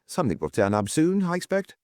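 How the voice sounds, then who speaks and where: A man's voice: a little high, sing-song, quick pace, darkish tone, no echo, pitched above the performer's own voice. A man voicing a breezy, wry young adventurer working as a tour guide, with a dry, teasing manner.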